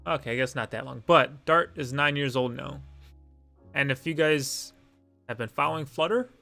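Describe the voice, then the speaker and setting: A man's voice: mid-range voice, normal speed, creaky, pushed and bright, soft background music. A young man whose first language is Lithuanian, hosting a casual live-stream Q and A, rambling and self-deprecating with many fillers.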